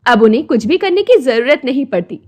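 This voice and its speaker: A woman's voice: sits high, very animated, brisk, a little mellow. A woman narrating a scripted moral story as its storyteller.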